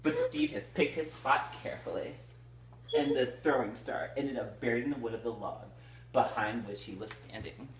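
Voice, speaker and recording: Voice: mid-range voice, normal speed. A person giving an amateur comedic reading of a novel aloud, giddy and easily derailed into giggly, sweary, theatrical asides. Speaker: reader in a comedic group book reading. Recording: roomy echo, faint background noise.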